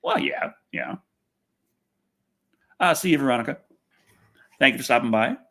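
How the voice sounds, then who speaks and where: A man hosting a casual livestream chat, rambling and halting, often repeating his phrases and speaking bluntly. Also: mid-range voice, huge pitch swings, gravelly, sharp tone. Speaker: podcast host.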